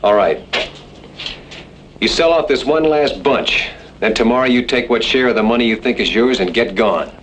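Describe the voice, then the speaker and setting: Grim, weary cattleman, played by a man, mid-pitched, blunt and terse, on a noisy vintage radio drama recording. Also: normal speed, fairly bright tone, natural voice. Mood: grim resignation. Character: stern, weary rancher turned outlaw, fed up. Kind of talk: old-time radio western acting.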